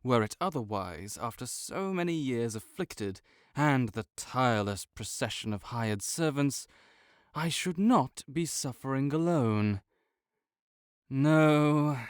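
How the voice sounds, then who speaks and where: A man's voice: medium pitch, animated, takes it slowly, smooth, no room sound, clean studio sound. A man playing a proud, sardonic invalid nobleman in an audio roleplay, speaking with disdainful, formal, old-fashioned diction. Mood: weary, defensive. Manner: haughty, archaic formal diction, disdainful drawl. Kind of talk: scripted audio roleplay monologue.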